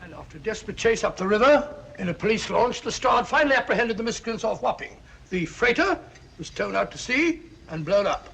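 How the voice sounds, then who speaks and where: Casting: self-important older English duffer, 1940s film, creaky voice, very animated storytelling, slight soundtrack hiss. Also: male voice, high-pitched, fairly quick, full character voice. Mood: pleased. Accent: British. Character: jovial, bumbling older English gentleman, fond storyteller. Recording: noisy old film soundtrack.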